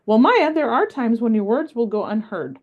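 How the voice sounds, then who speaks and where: A woman voicing a wise, patient old grandmother in a read-aloud story, gently telling her granddaughter about her life. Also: a little high, sing-song, normal speed, muffled recording, pitched above the performer's own voice. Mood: fond.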